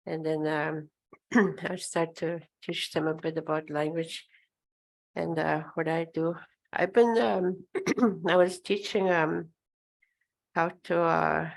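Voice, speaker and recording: Older woman elder, Oji-Cree first language; gravelly, slow, flat delivery on a handheld mic. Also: low-pitched, low-quality recording. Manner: slow, hesitant, many pauses and throat clearing. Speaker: elder speaker. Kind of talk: informal talk at a gathering. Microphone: handheld microphone.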